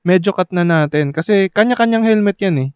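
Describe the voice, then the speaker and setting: A man's voice: high-pitched, lively intonation, a little fast, clean tone, no echo, highs cut off. A man casually explaining a motorcycle intercom setup to viewers, speaking through a helmet headset mic while riding in city traffic. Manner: casual, addresses viewers as bosses. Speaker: motorcycle vlogger reviewing an intercom. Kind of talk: casual how-to talk to viewers.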